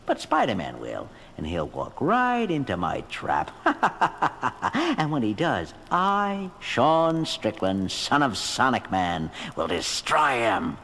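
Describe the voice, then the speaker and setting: A man's voice: mid-range voice, huge pitch swings, slow pace, a bit bright, heavily acted. A man playing a boastful, megalomaniac supervillain in a theatrical superhero audio drama. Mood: gloating menace. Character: gloating megalomaniac male supervillain sound engineer.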